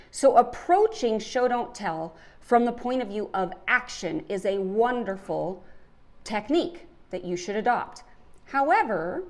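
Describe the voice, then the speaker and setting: A woman's voice: mid-range voice, darkish tone. A woman hosting a podcast, giving an emphatic lesson on storytelling. She asks questions and works through examples. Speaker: podcast host and writing teacher.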